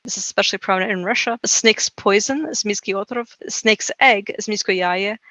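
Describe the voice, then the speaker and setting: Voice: medium pitch, moderate pace, sharp tone, no room sound. A podcast co-host whose first language is Serbo-Croatian, chatting casually about folklore in a rambling way, full of "you know" and "right?".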